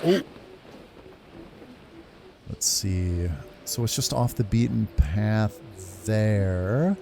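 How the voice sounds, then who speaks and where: A man's voice: lowish voice, lively intonation, extremely slow, gravelly, a little mellow, slight background noise. A man giving casual playthrough commentary as a gaming video host, informal, with muttered asides. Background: game music.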